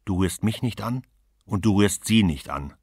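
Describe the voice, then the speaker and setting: A man's voice: fairly low pitch, lively intonation, moderate pace, a little mellow, slightly rough, no room sound, clean studio sound, pitched above the performer's own voice. A man reading aloud and voicing a frightened, distraught wife near breakdown, speaking in an agitated, pleading way.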